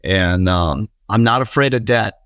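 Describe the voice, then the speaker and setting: A man in his thirties with a Wisconsin accent, speaking as an earnest podcast guest. His voice sits fairly low, and he rambles, restarting sentences and filling gaps with "you know." The sound is close and dry, with no room echo. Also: unhurried, a bit bright. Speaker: podcast guest, real estate investor. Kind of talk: casual conversational interview.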